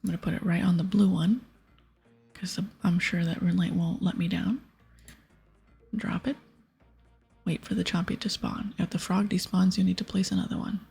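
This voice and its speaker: A woman whispers and softly murmurs her way through a game in ASMR style. Her voice is very husky and breathy, with a crackle of vocal fry. Music plays faintly behind her. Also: on the low side, fairly even intonation, normal speed, long pauses. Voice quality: breathy, hushed. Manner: mostly whispering, with stretches of soft speech. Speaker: ASMR gaming streamer.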